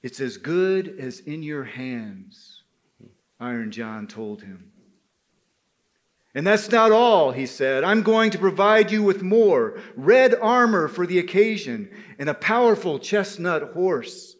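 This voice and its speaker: Male oral storyteller, unhurried and emphatic, slightly high voice, addressing his listeners directly. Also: lively intonation. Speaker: storyteller at a men's gathering. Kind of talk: live oral folktale telling.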